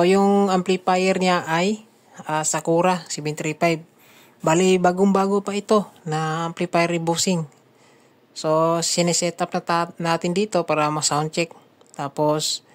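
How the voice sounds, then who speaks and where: Low-voiced Filipino woman narrating a DIY build. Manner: conversational, often addresses viewers as guys. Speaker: DIY speaker-box builder and vlog host. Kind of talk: casual how-to voice-over narration.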